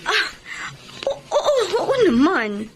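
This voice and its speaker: A woman's voice: extremely high pitch, wildly swooping pitch, slow pace, a little hiss or hum, exaggerated voice. An actress in a Filipino radio drama playing a devoted, wounded woman leaving her partner, in a heartfelt, melodramatic way. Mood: flustered, hesitant. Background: background music.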